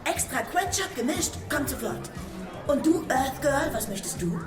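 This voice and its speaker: An actress playing an excitable, starstruck alien fast-food worker in a children's radio play, gushing and eager. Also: female voice, sits high. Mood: chirpy service. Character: excitable many-armed alien burger clerk, starstruck fan. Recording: echoey, music and crowd bustle behind.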